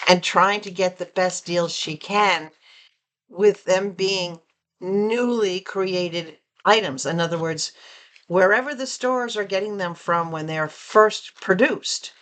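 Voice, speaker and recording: A woman vlogger chatting casually through an unboxing, reading labels aloud and asking viewers questions, a bit hoarse from losing her voice. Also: on the low side, a bit bright. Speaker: vlogger reviewing purchases.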